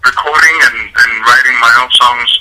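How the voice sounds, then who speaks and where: Bright-toned man on a phone line. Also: medium pitch, normal speed. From Dominican Republic. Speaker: guest singer. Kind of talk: phone interview. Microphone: telephone.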